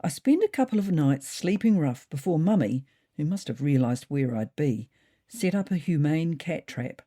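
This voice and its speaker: A woman narrating a scripted first-person story as an expressive, measured storyteller. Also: low-pitched.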